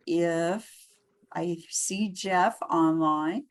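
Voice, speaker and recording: A woman hosting a conference call in an informal, halting way. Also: on the low side. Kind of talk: informal meeting moderation.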